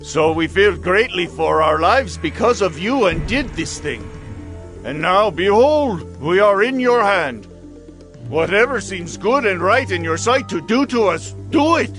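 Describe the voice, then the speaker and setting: High-voiced pleading ancient envoy. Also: male voice, animated, a little slow, a bit bright, faint music behind. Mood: fearful, submissive. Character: pleading Gibeonite envoy, humble, anxious man. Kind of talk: dramatized audio Bible acting.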